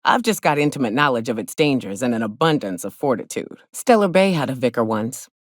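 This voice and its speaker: Low-voiced, blunt frontier huntress. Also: female voice, lively intonation, a little fast, dry recording, clean studio sound. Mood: grim, knowing. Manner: blunt, wry, folksy frontier speech with ain't and mite. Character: gruff, hard-drinking frontier woman, hunter and guide for hire. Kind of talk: video game companion dialogue acting.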